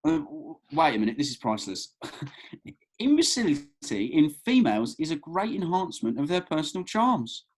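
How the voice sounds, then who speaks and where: Actor as cheeky young man, higher-pitched, lively intonation, affable, hapless, wry, comedy table read. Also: normal speed. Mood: amused.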